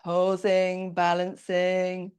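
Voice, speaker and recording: A woman teaching a slow-flow yoga class, calmly cueing each pose while doing it herself, her voice a little croaky. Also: lowish voice. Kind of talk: slow guided yoga instruction.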